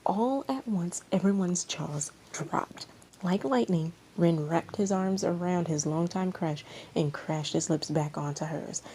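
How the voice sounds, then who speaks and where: A woman reading fan fiction aloud as an expressive storyteller. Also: lowish voice, unhurried, breathy edge, poor audio. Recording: amateur recording with background noise.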